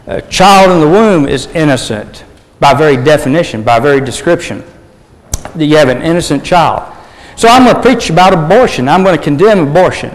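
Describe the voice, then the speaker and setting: A male pastor delivering a sermon in a fairly high, measured voice, pausing to clear his throat as his voice grows hoarse. The sound rings out with a long reverb from a large hall. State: losing his voice.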